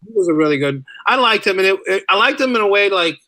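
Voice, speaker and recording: A man speaking casually as a podcast guest, telling stories in an animated, rambling way. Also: high-pitched, fast talker, no room sound. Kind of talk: casual interview chat.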